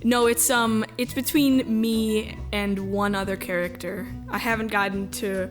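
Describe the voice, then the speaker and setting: A woman from the US Midwest chatting casually as an interview guest, easygoing, rambling and quick to laugh. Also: medium pitch, fairly even intonation, over music. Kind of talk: casual chat.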